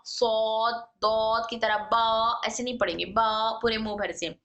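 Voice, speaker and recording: Woman teaching recitation, fairly high voice, bright and crisp, patient, repeats each sound, echoey room. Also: fairly even intonation, unhurried. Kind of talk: tajweed lesson.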